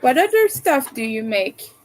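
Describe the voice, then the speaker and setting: A French Canadian woman from Montreal chatting casually on a crochet livestream, answering her chat in a soft, hesitant way with many fillers and small chuckles. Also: high-pitched, sing-song, normal speed. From Montreal, Quebec, Canada. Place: her own room at home.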